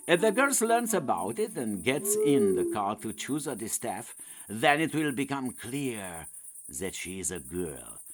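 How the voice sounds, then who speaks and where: A man's voice: fairly high pitch, a bit bright. A man reading a scripted children's story as its narrator.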